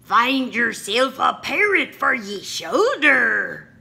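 A woman's voice: fairly high pitch, sing-song, slow pace, vocal fry, pitched above the performer's own voice, exaggerated voice. A woman voicing a bossy male pirate bunny captain who barks orders in a storybook read-aloud. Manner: shouting orders.

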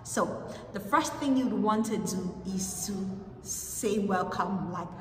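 A young woman with a Cameroonian English accent giving tips as an online English teacher, speaking in a clear, measured teacherly way in an empty classroom. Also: medium pitch, slight background noise. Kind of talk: instructional video talk. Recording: echoey room from far off.

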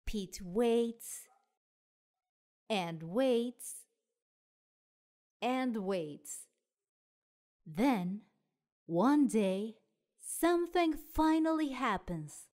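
A woman tells a children's story with a high, very lively voice. She takes her time over each phrase and speaks expressively, as a storyteller does for small children. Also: very slow, pauses often. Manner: expressive, child-directed delivery. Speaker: storyteller and show host. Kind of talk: read-aloud children's storybook narration.

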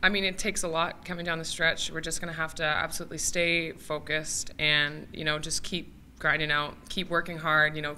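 A woman's voice: low voice, sharp tone, slight background noise. A young woman, a college basketball player, answering a press question in an upbeat, determined way. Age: young adult. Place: press conference room, seated at table.

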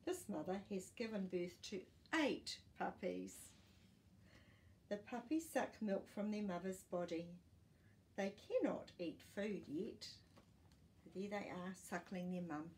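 Slow, animated older woman reading aloud. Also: medium pitch. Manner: careful, simple delivery addressed to young children. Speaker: children's storytime host. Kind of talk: reading a children's non-fiction picture book aloud. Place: small living room, indoors. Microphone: camera a short distance away.

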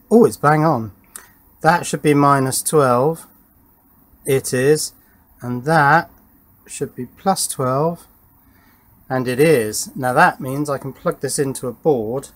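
A man gives a casual workshop demonstration, muttering questions to himself as he works through each step in a shed. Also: medium pitch. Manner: muttering, self-questioning asides while working. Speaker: electronics hobbyist presenter. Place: small wooden garden shed workshop.

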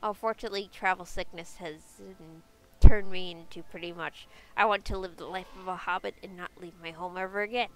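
A woman's voice: lowish voice, fairly even intonation, fairly quick, fairly bright tone, poor audio. Congested young woman narrating gameplay. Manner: chatty, many fillers, upbeat. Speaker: game video creator. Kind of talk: casual gameplay guide narration.

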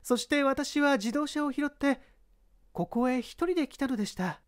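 A woman's voice: sits high, normal speed, no room sound, studio quality, natural voice. A woman playing a composed young female witness, speaking politely and formally in a dramatized mystery reading. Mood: earnest, explaining. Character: composed young woman giving testimony to police.

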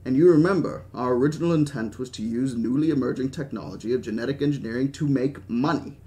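A man's voice: medium pitch, quick pace, mellow, lightly acted. Guy doing an old british gent in his 70s, grandiose and stubborn, genteel storybook character. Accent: attempted British. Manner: genteel, avuncular, speechifying. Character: stubborn, grandiose old man, wealthy park founder.

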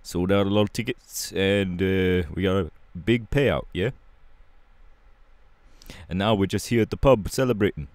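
A low, dry-recorded voice of a young punk hero acted by a man in an audio roleplay. He drawls in casual slang, rebellious and laid-back, with a short fuse. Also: measured pace, long pauses, a little mellow, no room sound. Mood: casual, dismissive.

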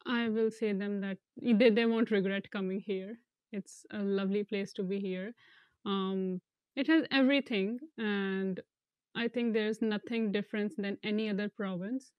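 A young woman from India, an international student, speaking earnestly in an interview with some halting restarts, on a lapel mic. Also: medium pitch, steady tone, clear, resonant tone, no room sound, muffled recording. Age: young adult. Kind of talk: casual interview talk.

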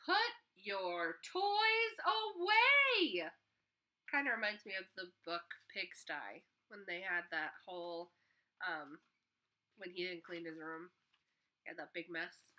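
A woman's voice: on the low side, steady tone, unhurried, sharp tone. A young woman teacher reading a picture book aloud to children, chatting to them in between pages, filmed on a webcam in her living room. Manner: friendly, chatty, with asides to kids. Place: living room at home, on a couch. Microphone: webcam at desk distance.

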